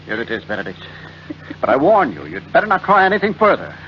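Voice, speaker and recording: A man's voice: on the high side, wildly swooping pitch, quick pace. An actor playing a woman's indignant, protective friend in old radio drama, blunt and scornful in manner. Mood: angry. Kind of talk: 1940s radio acting. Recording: dull, noisy old radio recording.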